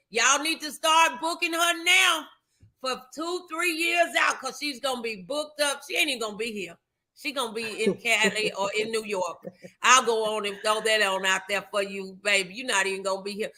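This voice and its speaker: A woman's voice: high voice, moderate pace, pushed and bright. A woman hosting a motivational morning show, speaking in a fervent, affectionate, preacher-like way and moving between casual talk and prayer. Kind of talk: inspirational talk and prayer, conversational.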